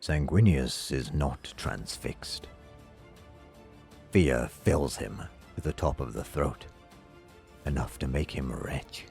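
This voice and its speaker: Very deep, slow male narrator. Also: creaky, a little mellow. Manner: solemn, measured delivery. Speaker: audiobook narrator. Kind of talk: dramatic scripted fiction narration. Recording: music under the voice. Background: background music.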